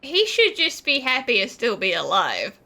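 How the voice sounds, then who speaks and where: A woman's voice: a little high, huge pitch swings, moderate pace, bright, forceful tone, slight background noise, full character voice. An actress playing a blunt, wry young female companion in a video game. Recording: faint music behind the voice.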